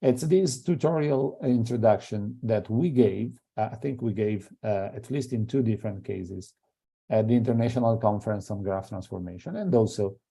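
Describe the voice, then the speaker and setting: A man's voice: fairly low pitch, moderate pace, creaky, warm tone, breathy edge. A middle-aged man with an Italian accent giving an academic seminar talk, hesitant, with many fillers and restarts. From Italy. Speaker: invited seminar speaker.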